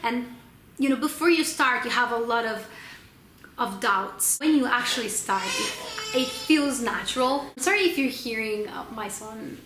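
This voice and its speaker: A woman's voice: a little high, expressive, a little slow. A young woman with an Italian accent, chatting casually and hesitantly about books she read, with many ums and restarts. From Italy. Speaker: book channel host. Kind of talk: casual book wrap-up vlog. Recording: echoey room with faint noise.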